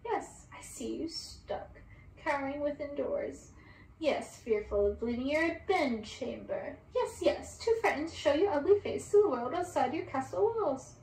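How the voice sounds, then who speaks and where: Spiteful storybook witch, played by a female reader: high, shrill, taunting; distant mic. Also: expressive, measured pace, a little mellow. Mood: gloating. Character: cackling, mocking witch sisters, spiteful and possessive. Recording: distant roomy mic with background hiss.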